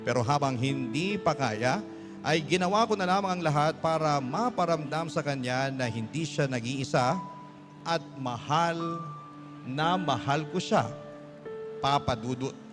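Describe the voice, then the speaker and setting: A man's voice: a little high, a little slow. A man with a Filipino accent reading a letter as dramatic radio narration in an emotive, earnest manner. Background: background music.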